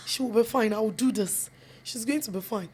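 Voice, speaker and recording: A woman's voice: on the high side, fairly quick, a little mellow, breathy edge. Yoruba-accented woman telling her story. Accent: Nigerian, Yoruba. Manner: switches between English and Yoruba mid-sentence; earnest, repeats phrases for emphasis. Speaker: guest, film producer and actress. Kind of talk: storytelling interview answers.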